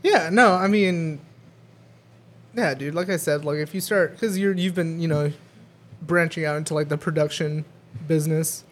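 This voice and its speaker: A young man co-hosting a casual podcast in a small apartment, easygoing and swearing casually, with drawn-out phrasing. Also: on the high side, a little hiss or hum. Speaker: podcast co-host. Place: small home studio apartment.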